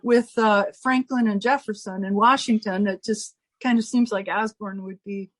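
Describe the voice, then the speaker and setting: A woman's voice: medium pitch, fairly even intonation, moderate pace, no room sound. A woman actor chatting casually, musing aloud in a hesitant way. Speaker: actor in a theatre company. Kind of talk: casual chat.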